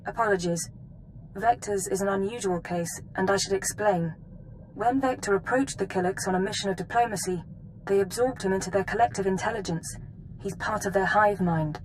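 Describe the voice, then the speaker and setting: A woman's voice: mid-range voice, a little fast, close to an everyday voice, poor audio. Woman acting a composed intelligence officer, background noise. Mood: composed, businesslike. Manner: crisp, formal, businesslike. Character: composed, precise female intelligence analyst briefing an agent. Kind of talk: video game voice acting.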